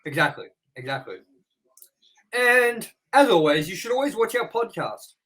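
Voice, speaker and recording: A young man hosts a casual review podcast with crude jokes and a steady stream of swearing. His voice sits a little on the high side. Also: moderate pace, poor audio. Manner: crude, joking banter with frequent swearing. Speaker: podcast host.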